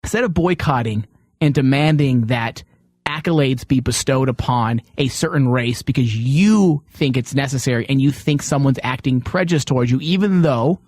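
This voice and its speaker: A man's voice: medium pitch, a little mellow, no room sound, studio quality. A man hosting an opinionated panel talk, arguing his points emphatically. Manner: emphatic, argumentative. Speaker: podcast host.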